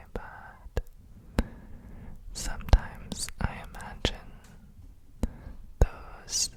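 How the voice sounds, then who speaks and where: Female ASMR guide: all whisper, extremely slow and unhurried, breathy and soothing, for sleep relaxation. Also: rough recording. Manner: gentle, soothing, unhurried with frequent pauses. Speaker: ASMR host guiding relaxation.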